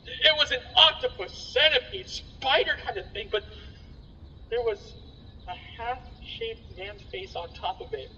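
Horror-struck villager, male actor, wailing, strained and very sharp, radio drama, muffled in long reverb. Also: very high voice, expressive, measured pace, slight background noise, full character voice. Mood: shaken. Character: hysterical villager traumatized by a monstrous sight. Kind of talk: theatrical radio drama acting.

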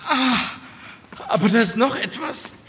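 An echoing space carries the high voice of a man playing an anxious, sarcastic captive in a horror audio drama. He speaks slowly and haltingly, with a bright, tense edge. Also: lively intonation. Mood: pained, suspicious. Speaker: voice actor. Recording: echoey hall reverb, faint background noise.

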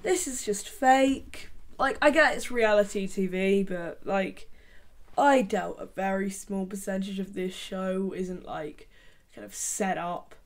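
A young woman giving deadpan, sarcastic commentary from her bedroom into a desk microphone. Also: medium pitch, slow pace. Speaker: YouTube commentator. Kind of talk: sarcastic commentary on a reality show. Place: small bedroom with colored lights. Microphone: large desk microphone.

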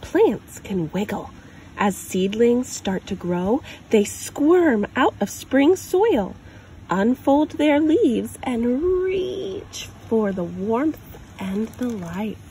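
A woman reads a picture book to children in a fairly high, very animated voice. She gives key words deliberate emphasis, like a storytime host. She is recorded outdoors with clear ambient noise around her. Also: poor audio. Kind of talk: read-aloud picture book narration for children. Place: outdoors on grass.

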